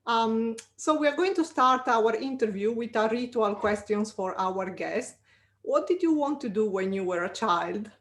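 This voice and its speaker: A woman's voice: on the high side, expressive, moderate pace. A woman hosting a career podcast, reading a prepared introduction and then asking her guest questions. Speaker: podcast host.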